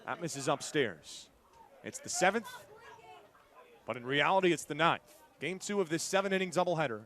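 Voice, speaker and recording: High, bright-voiced male play-by-play announcer. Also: expressive, normal speed. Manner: punchy, clipped game-calling phrases. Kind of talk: live sports commentary. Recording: live stadium crowd behind the voice. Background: outdoor ballpark crowd.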